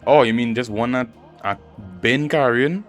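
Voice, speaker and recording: A man's voice: medium pitch, normal speed, a bit bright. A man giving casual playthrough commentary on a game story, musing aloud and repeating himself as he reacts. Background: game music under the voice.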